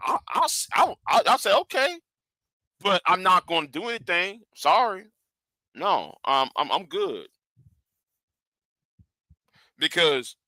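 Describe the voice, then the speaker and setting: A man's voice: on the high side, bright, forceful tone, no room sound. A man in his forties, a live stream host, rambling in casual commentary with lots of stammered repeats. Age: 40s.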